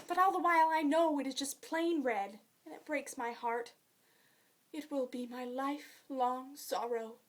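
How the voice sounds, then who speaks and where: A woman performing a dramatic monologue as a talkative, starry-eyed young orphan girl, effusive and dreamy in a theatrical way. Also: high voice. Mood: dramatic, sorrowful. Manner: effusive, dreamy, theatrical, swinging between gushing and wistful.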